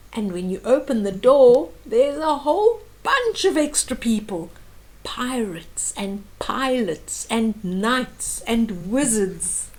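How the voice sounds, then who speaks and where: An older woman with a high voice tells a picture story to young children. She takes it slowly, in simple phrases with pauses, and speaks straight to her little listeners in an expressive way. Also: rough recording. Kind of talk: reading and retelling a picture book to young children.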